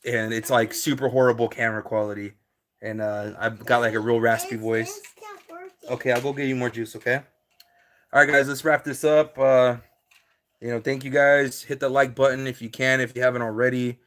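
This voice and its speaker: A man's voice: mid-range voice, steady tone, moderate pace, fairly bright tone. A man, hosting a casual live stream and answering his viewers' chat in a laid-back way, with lots of fillers.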